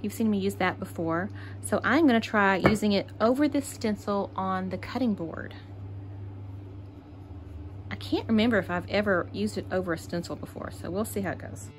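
Texas lady walking thru a craft project, chatty, mid pitch, bit of room hiss. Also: moderate pace. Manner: chatty, thinking aloud with fillers. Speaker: craft channel host. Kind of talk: casual crafting tutorial.

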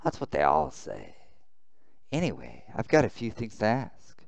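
A man voicing a gruff, threatening military boss in a visual novel, blunt and menacing. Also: fairly low pitch, huge pitch swings, unhurried, no echo, full character voice.

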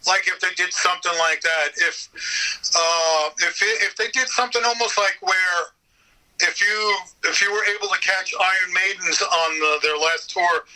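A man with a high-pitched, piercingly bright voice chats casually as a podcast guest. He talks in an animated, informal way and swears freely. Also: normal speed, low-quality recording. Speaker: guest, voice actor.

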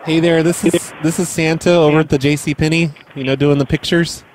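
A man doing prank phone calls as a mall Santa, in a deadpan, crude, mock-serious way. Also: a little high, fairly quick, a little hiss or hum, low-quality recording. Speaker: prank call host.

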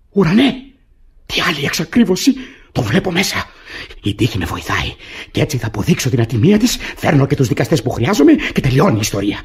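A man playing a frantic, aggrieved husband in a classic radio farce, exclaiming and repeating words insistently. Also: medium pitch. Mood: scheming, exasperated. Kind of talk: theatrical radio comedy acting.

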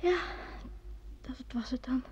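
A woman's voice: high-pitched, slow, warm tone, husky, heavily acted. An actress playing a wistful, tender woman in an old radio drama. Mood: restrained, tender. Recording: dull vintage radio play recording.

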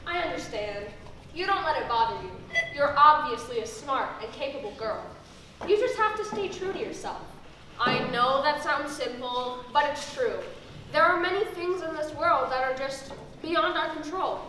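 Lady playing an excitable shopper in a stage comedy, high bright voice, super echoey. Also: moderate pace. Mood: reassuring. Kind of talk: amateur stage comedy acting. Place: indoor stage before a live audience. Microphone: far camera. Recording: echoey theatre, distant camera, noise.

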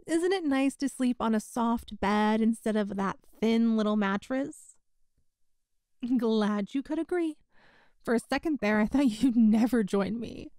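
Woman acting a high-voiced, playfully teasing young woman. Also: warm tone, clean tone, dry recording, clean studio sound. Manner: teasing, giggly, conversational. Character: playful teasing young woman, best friend's older sister. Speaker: audio roleplay voice actor. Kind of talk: intimate ASMR-style audio roleplay, one side of a conversation.

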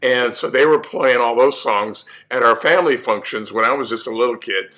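Hoarse older man telling stories. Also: medium pitch, fairly quick. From California. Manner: relaxed, storytelling. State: hoarse from a cold. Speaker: guest musician. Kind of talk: casual interview. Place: home music room with guitars.

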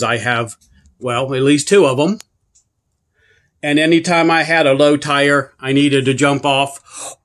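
A man's voice: fairly high pitch, a bit bright. Plain-spoken man reviewing a product. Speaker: product reviewer and channel host. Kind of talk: casual product review and demonstration. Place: carpeted room indoors.

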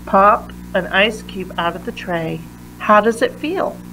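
A woman reading a children's picture book aloud in a deliberate manner, pausing between phrases. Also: mid-range voice, animated, sharp tone, a little hiss or hum, low-quality recording. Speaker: read-aloud reader.

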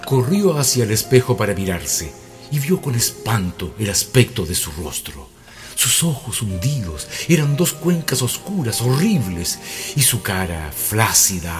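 A somber storyteller for an eerie radio play. He has a lowish voice and pauses dramatically between measured phrases, with clear music behind him. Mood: ominous. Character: grave narrator of an eerie tale. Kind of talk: scripted radio drama narration. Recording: prominent background music under voice.

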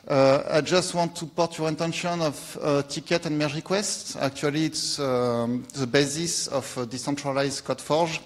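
A young man from France with a French accent, giving a technical conference talk in a lecture hall, pausing often with filler sounds. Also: a little high, steady tone. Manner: hesitant, many filler sounds. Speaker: conference speaker.

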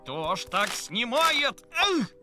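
A woman's voice: a little high, sing-song, takes it slowly. A woman voicing a cocky, boastful, bossy little boy monster in a children's cartoon, with a swaggering, exclaiming delivery. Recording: music behind the voice.

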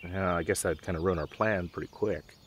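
Man acting a dorky, halting young gamer guy. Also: sits low, fairly even intonation, slight background noise, close to an everyday voice. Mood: worried, musing. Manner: halting, stammering, awkward. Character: dorky, nervous male gamer, protective best friend. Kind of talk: audio roleplay drama, conversational.

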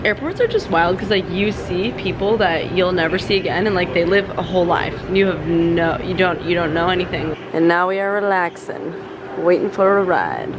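A woman's voice: lowish voice, moderate pace. A woman in her twenties with an American accent, chatting casually in youthful slang on a handheld camera as a travel vlogger. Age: 20s. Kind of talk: casual vlog chat. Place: airports and airplane cabin. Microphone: handheld camera at arm's length. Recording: loud airport and cabin noise.

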